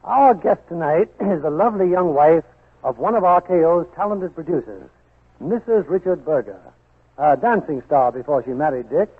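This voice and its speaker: A man's voice: fairly high pitch, animated, moderate pace, husky. An American man hosting a 1940s radio show, announcing from a script in a polished, theatrical way. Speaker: host and announcer. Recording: muffled 1940s radio broadcast with music.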